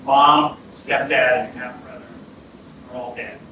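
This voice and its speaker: An actor playing a blunt, seasoned police detective in a staged reading, speaking sternly and deliberately with some swearing. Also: male voice, medium pitch, steady tone, natural voice. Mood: grave. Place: indoor arts centre stage, staged reading. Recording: echoey theatre from far off, noisy.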